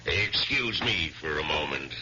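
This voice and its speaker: An actor playing a considerate older law firm boss, hesitant and businesslike, in an old-time radio mystery. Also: male voice, extremely low pitch, animated, measured pace. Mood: considerate, awkward. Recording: dull vintage radio recording with hum.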